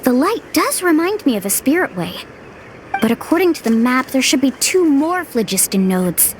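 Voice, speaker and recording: A confident young female adventurer in a video game. Her voice is high and lively, swinging freely in pitch as she encourages her companions in an easy, assured way. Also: normal speed, slight background noise. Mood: focused, practical. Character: upbeat, confident young woman adventurer guiding her friends. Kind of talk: animated video game voice acting.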